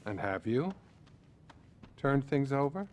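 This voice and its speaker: An actor playing a calm, clinical psychiatrist who speaks in a measured, unflappable way, in a video game cutscene. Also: male voice, medium pitch.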